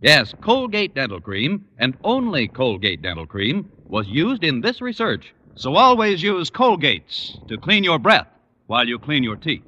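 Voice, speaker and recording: Bright, emphatic male vintage radio announcer. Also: huge pitch swings, measured pace. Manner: emphatic, polished sales pitch. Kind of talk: 1940s-50s radio advertising read. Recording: muffled, reverberant old radio recording.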